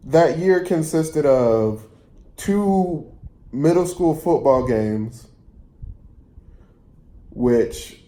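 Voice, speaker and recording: A man tells a personal story in a casual, conversational way. His voice is relaxed and mellow and his delivery is unhurried. He rambles a little, stopping to rephrase and repeat himself as he goes. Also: fairly high pitch. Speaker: storyteller vlogger.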